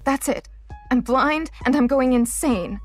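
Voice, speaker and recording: A woman's voice: high-pitched, a little slow, faint music behind. An actress playing a frightened, breathless blind woman in an audio drama who fears for her sanity. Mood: frightened, distraught.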